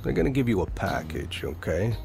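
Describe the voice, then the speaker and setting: A man's voice: on the low side, very animated, fairly quick, gravelly, a little mellow. A man acting a bossy, shady drug dealer in a radio drama. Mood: commanding.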